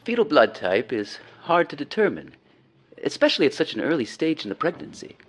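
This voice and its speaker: A man's voice: a little high, very animated, fairly quick, in a large hall. A man playing a blunt, serious character who speaks frankly in a true-crime radio drama.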